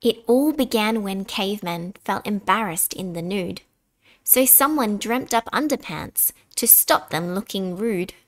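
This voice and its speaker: A woman reading a children's picture book aloud in an expressive, deliberate way, dramatic on key words. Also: mid-range voice. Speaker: storybook reader.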